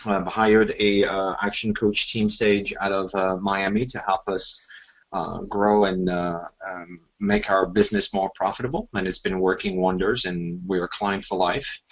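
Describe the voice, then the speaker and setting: A French-accented man in his forties leads an online presentation. He talks haltingly and fills his pauses with "uh" and "um". His voice sits a little low. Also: fairly even intonation, fairly bright tone, poor audio. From France. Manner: halting, many fillers and restarts. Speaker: webinar presenter.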